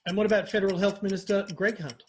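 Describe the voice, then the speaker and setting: A man with a notably high voice hosts a rapid comic interview. He teases his guest in a playful, mock-grand manner. Faint background noise sits under the recording. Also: little pitch movement, darkish tone. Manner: teasing, mock-formal and playful. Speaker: interview host. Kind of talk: scripted quick-fire comic interview.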